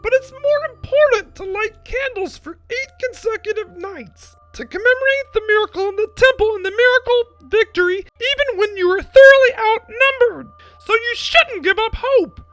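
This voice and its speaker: Tiny indignant latke for a storybook: extremely high, animated, emphatic, music underneath. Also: female voice, normal speed, no room sound. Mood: exasperated, earnest. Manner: emphatic, shrieking outbursts, lecturing. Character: indignant little screaming latke, easily exasperated. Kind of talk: dramatic storybook reading.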